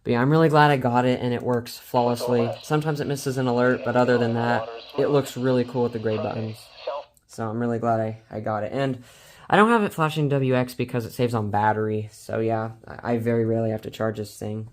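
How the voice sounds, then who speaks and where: A man's voice: medium pitch, fairly even intonation, a little fast. A man casually showing a collection in an unscripted vlog, talking in an informal, slangy, rambling and somewhat hesitant way. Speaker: hobbyist collector presenting a collection video.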